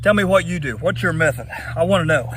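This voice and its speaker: A man's voice: on the high side, animated, fast. A worn-out, winded man talking casually to camera about his own practice attempt. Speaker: amateur video maker practicing a skill. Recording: distant mic, faint background noise.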